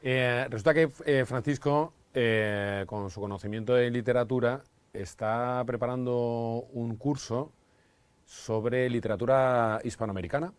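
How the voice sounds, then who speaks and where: A man hosting a casual podcast as a Spanish teacher, asking lively, curious questions. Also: mid-range voice, measured pace, clean tone, no room sound.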